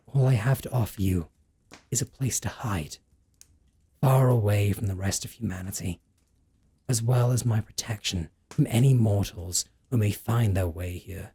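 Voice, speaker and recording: A centuries-old male vampire, acted by a man, speaks in an intimate audio roleplay. His voice is lowish and heavily husky, full of breath. He is brooding and possessive, choosing formal, earnest words as he addresses the listener directly. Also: a little mellow.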